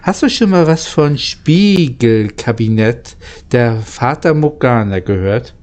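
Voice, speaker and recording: A man's voice: mid-range voice, animated, slow, darkish tone. A man reading the part of an easygoing, plain-spoken locomotive driver in a children's storybook. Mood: knowing, amused. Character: easygoing adult male locomotive driver explaining things.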